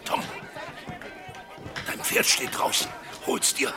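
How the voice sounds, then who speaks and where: An actor playing an agitated Western sheriff in a German radio play, declaiming emphatically and swinging from shouts to low words. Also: male voice, slow, over music, lightly acted. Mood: urgent. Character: agitated male Western sheriff, torn but commanding.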